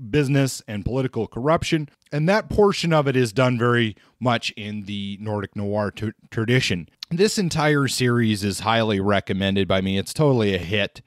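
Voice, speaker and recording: A male podcast host talks through a stack of crime novels in a loose, unhurried way, full of small fillers and restarts. His voice sits in a middle register. The sound is dry and close. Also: medium pitch, no echo. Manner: relaxed, hesitant with many fillers. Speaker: podcast host reviewing books. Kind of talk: casual book review talk.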